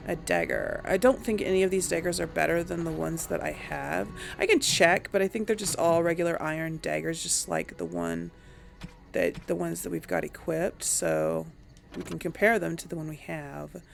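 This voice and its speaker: Creaky-voiced woman playing a video game. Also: lowish voice, expressive, moderate pace. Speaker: let's player. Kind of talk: casual gameplay commentary to an audience. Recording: voice over game music.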